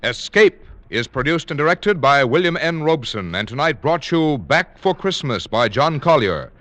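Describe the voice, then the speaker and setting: A male announcer in 1940s radio style reads credits formally. His pitch is fairly high and swings widely, over music in a vintage recording. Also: animated, moderate pace, fairly bright tone.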